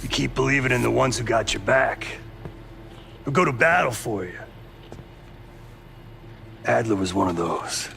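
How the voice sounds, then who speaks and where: Gravelly, blunt American operative. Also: male voice, mid-range voice, unhurried, long pauses, fairly bright tone. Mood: bitter, incredulous. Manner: blunt, streetwise, profane. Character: gruff older sidelined male CIA operative, blunt, loyal mentor. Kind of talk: naturalistic video game cutscene acting.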